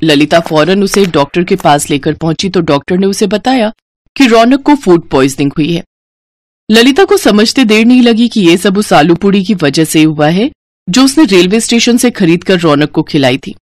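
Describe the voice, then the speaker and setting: Animated woman storyteller. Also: on the low side, moderate pace, no room sound, clean studio sound. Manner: expressive storytelling. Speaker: narrator. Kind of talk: scripted moral story narration.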